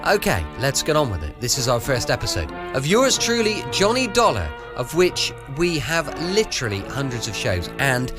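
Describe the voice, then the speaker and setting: A man's voice: mid-range voice, wildly swooping pitch, normal speed, hardly any pauses. A man with a British accent giving a chatty, homely introduction as a podcast host. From United Kingdom. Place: close, dry home recording. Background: faint music.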